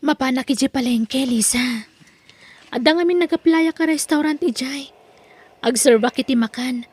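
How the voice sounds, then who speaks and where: Woman playing a patient, weary young Ilocano-accented daughter, high-pitched. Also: normal speed, breathy edge. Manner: patient, apologetic. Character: tired young woman working in the city to support family.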